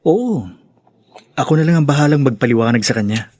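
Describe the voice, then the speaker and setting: An actor playing a young, mild, placating husband and dutiful son in a Filipino radio drama, hesitant, with a Filipino accent. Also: male voice, medium pitch, animated, brisk, darkish tone, slightly rough, no echo, clean studio sound. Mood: soothing.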